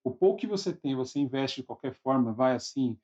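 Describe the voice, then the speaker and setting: A husky male podcast host with a Brazilian Portuguese accent speaks at a medium pitch. His delivery is informal and encouraging, like a relaxed conversation. The recording is a little dull and lo-fi. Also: a little fast. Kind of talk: casual conversational interview. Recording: dull lo-fi sound, faint music.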